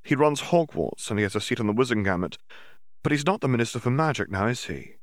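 A man voicing a quick-talking teenage wizard boy. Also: medium pitch, animated, no echo, clean studio sound, voice pushed up, lightly acted. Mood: explaining. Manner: fast, hushed, hesitant at times.

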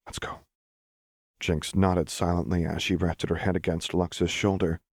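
A man's voice: low voice, little pitch movement, moderate pace, darkish tone, no echo, studio quality. A man reading a dramatic story aloud as scripted audiobook narration. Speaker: audiobook reader.

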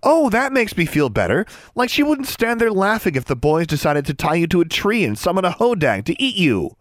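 Audiobook part: fretful, fed-up schoolgirl, read by a man, voice lifted high, sarcastic, swooping emphasis. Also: big pitch swings, fairly quick, no echo, studio quality. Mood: fuming, sarcastic. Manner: indignant, sarcastic. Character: anxious, exasperated young schoolgirl, sharply sarcastic.

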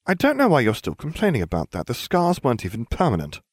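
Nervous, slow-witted teen sidekick voiced by a man, bewildered, jumps up in pitch, dry sound. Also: wildly swooping pitch, dry recording. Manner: anxious, bewildered.

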